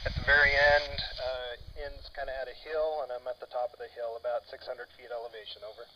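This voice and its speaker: A man's voice: medium pitch, steady tone, brisk. An American man chatting casually over two-way radio as an amateur operator, polite and a bit hesitant. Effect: two-way FM radio transmission. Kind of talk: casual ham radio conversation. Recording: dull radio audio, faint static.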